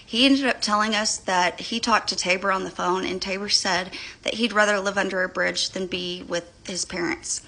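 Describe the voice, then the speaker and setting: A woman's voice: mid-range voice, steady tone, moderate pace, vocal fry, hardly any pauses, pushed and bright, rough recording. A middle-aged woman vlogger giving a casual, rambling, indignant update to her followers, telling it in a gossipy way.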